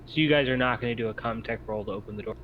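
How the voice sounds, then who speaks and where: A man running a tabletop game as game master, explaining scenes in a hesitant, halting way. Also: mid-range voice, phone-quality sound, a little hiss or hum.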